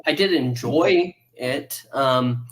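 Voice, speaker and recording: Male review panelist, average pitch, informal and playful, talking opinions through with friends. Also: slow, fairly bright tone. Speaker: audio drama review panelist. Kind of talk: casual review chat.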